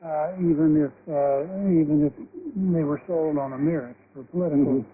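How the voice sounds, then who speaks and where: Higher-pitched man on tinny shortwave. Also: steady tone, normal speed. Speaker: panelist, political analyst. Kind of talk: unscripted news commentary. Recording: narrow, noisy shortwave radio reception.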